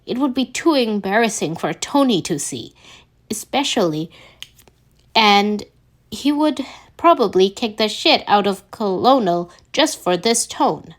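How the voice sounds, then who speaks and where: A woman's voice: mid-range voice, expressive, unhurried. A woman reading a story aloud as an amateur narrator, putting some expression into it. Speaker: amateur fan fiction reader.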